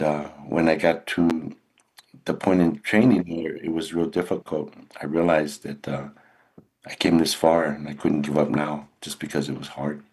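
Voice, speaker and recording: Very deep husky older man. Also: darkish tone. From California. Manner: earnest and unhurried, with many restarts. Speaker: guest. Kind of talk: radio interview recounting his career.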